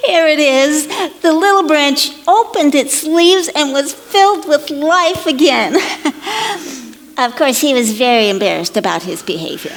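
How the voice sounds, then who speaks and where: A woman storyteller narrating a children's parable theatrically, expressive and deliberate, with playful asides. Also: high voice.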